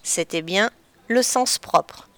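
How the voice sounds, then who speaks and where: A woman addresses children as their teacher, patient and deliberate as she explains. Her voice is smooth and clear. The sound is dry and close. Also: mid-range voice, fairly bright tone, no echo. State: slightly stuffy from a cold. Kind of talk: children's lesson on figurative expressions.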